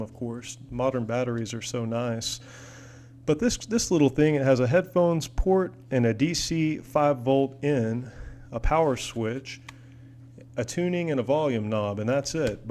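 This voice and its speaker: A man's voice: mid-range voice, darkish tone. An American man chatting casually as a hobbyist vlogger, rambling along in a folksy, enthusiastic manner. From United States. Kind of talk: casual product chat.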